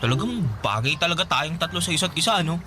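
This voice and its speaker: A man's voice: on the high side, big pitch swings, fast, over music. An actor playing a wry, brooding young man in a Filipino radio drama, plaintive and philosophical. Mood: gloomy, reflective.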